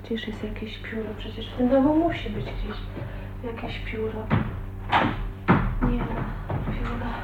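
An actress playing a shy, heartbroken young woman in a radio drama, often murmuring to herself in a hushed, breathy way. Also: fairly high pitch, fairly even intonation, unhurried, pauses often, loud music behind the voice, natural voice. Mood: agitated, searching. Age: young adult. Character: shy, heartbroken 29-year-old woman leaving her husband. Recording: tinny, band-limited 1980s radio recording, hissy.

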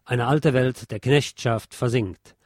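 A man's voice: mid-range voice, slow pace, dry recording, clean studio sound. A man reading a scripted historical documentary narration as the programme's narrator.

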